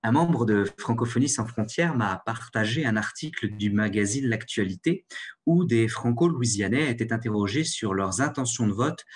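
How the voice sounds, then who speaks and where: Low-voiced man hosting a radio show. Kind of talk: scripted radio narration.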